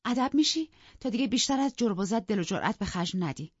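A woman's voice: a little high, lively intonation, husky, no room sound, muffled recording, pitched above the performer's own voice. A woman voicing a proud, fiery young wife with a heated, reproachful and taunting manner. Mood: furious, reproachful.

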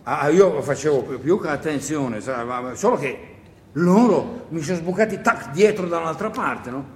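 A man's voice: fairly high pitch, lively intonation, moderate pace, a little mellow. A man playing an awkward, talkative partisan on stage, bashful and eager, hesitating and repeating himself in colloquial theatrical speech. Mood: boastful, bashful. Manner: hesitant, stammering, repetitive, colloquial interjections. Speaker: actor and director performing a solo play. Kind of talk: theatrical dialogue, radio drama monologue. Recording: echoey theatre hall with faint noise.

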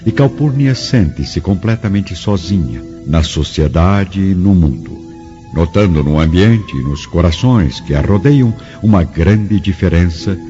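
A man narrating a radio drama from a script in a solemn, literary manner. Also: sits low. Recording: muffled studio recording with music. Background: music.

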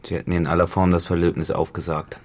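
An actor playing a cynical, dissolute farmhand turned tenant in a literary radio drama, grave and deliberate in manner. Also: male voice, low voice, level tone, a little mellow. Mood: grave, accusing.

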